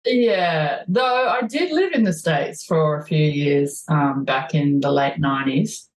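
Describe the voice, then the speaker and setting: A woman's voice: low voice, animated, takes it slowly, reverberant, poor audio. A woman with a broad Australian accent, chatting as a guest and telling rambling stories full of ums. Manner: rambling storyteller, laughs easily, many ums. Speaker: guest musician. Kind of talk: casual conversation.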